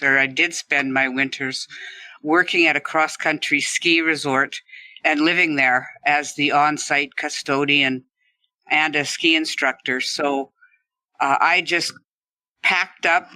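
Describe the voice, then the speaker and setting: Middle-aged woman, very bright sharp tone, dry close sound, conversational storytelling. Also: sits low, no echo, low-quality recording. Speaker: podcast guest, former ski instructor.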